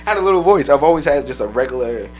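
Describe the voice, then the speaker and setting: A man co-hosting a podcast, telling loose, profane stories in casual chat, his voice a little raspy. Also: on the high side, lively intonation, soft background music, poor audio. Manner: fast, loose, profane storytelling. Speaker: podcast co-host.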